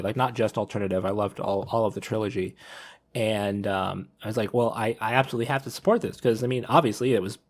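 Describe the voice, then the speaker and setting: A man in a casual panel discussion, talking in a rambling, enthusiastic fan's way as a podcast host. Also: lowish voice.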